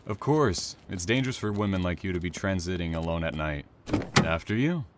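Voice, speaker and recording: A man playing a reserved, quietly intense train operator with veiled menace in an audio drama, speaking in a measured, courteous way. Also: low voice, animated, very noisy. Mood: smooth, forward.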